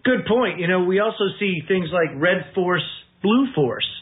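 High-voiced man, radio host on a phone line. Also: lively intonation, breathy edge. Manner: agreeable, brisk, wrapping up with plugs. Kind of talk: talk radio interview.

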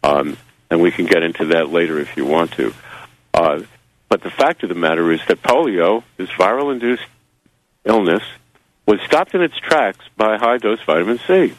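Low-voiced man, halting and wry. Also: lively intonation, dry recording, rough recording. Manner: halting, heavy with fillers and wry asides. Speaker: magazine publisher and interview guest. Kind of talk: rambling radio interview answers. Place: New Zealand.

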